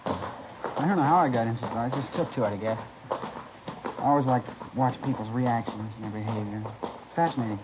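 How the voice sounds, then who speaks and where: A man playing an American high school boy, nervous and eager, with a medium-pitched voice. He is chatty and over-curious, with hesitant phrasing, in an old radio crime drama. The recording is very narrow and clearly noisy. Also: brisk, pauses often, lightly acted. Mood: eager, fascinated. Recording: scratchy, band-limited vintage radio recording.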